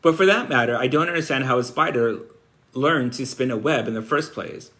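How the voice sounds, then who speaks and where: A man's voice: mid-range voice, fairly quick, a bit bright, lightly acted. Man reading as a thoughtful old country doctor. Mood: musing. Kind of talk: storybook read-aloud.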